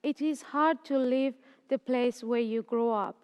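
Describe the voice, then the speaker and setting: High-voiced young woman in a reverberant hall. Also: clear, resonant tone. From Afghanistan and Iran. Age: late teens. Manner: slow, careful, earnest reading. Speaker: student. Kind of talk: reading a personal story.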